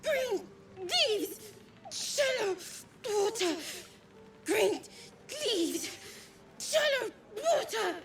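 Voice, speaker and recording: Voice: very high voice, lively intonation, drawn-out words, pauses often, over a noisy crowd, exaggerated voice. An actor voicing an eerie, murderous woodland creature in a video game, chanting repetitively in a shrieking voice. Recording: game audio under loud music.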